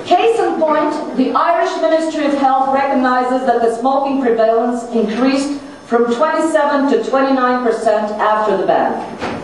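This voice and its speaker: A woman with a high voice delivers a formal, scripted speech in deliberate, emphatic phrases. She sounds distant from the microphone, in a very reverberant room. Some noise sits in the recording. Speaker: conference speaker reading another person's message. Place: large echoing conference room. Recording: very echoey hall, distant mic, noisy.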